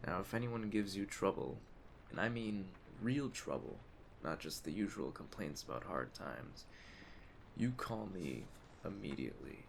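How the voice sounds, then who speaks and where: Aging mafia don, played by a man: very deep, creaky, measured authority, streetwise ASMR roleplay delivery. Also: animated, exaggerated voice. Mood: stern, grave. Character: calm, commanding aging mafia boss giving orders. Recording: clear ambient storm noise behind. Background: storm ambience.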